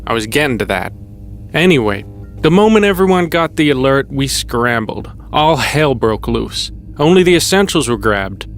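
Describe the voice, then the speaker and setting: A man acting a gruff old survivor. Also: medium pitch, sing-song, moderate pace, faint music behind. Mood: insistent, suspicious. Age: older. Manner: folksy, plain-spoken. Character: gruff, wary old male survivor of a dystopia. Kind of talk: audio drama acting. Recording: clear background noise.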